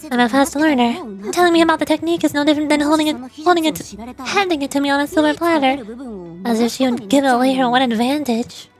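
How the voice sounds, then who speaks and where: Woman voicing a proud, high-pitched shaman lady. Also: quick pace, faint music behind, close to an everyday voice. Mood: proud, scornful. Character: proud, prickly, easily flustered elder female shaman. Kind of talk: game dialogue read aloud.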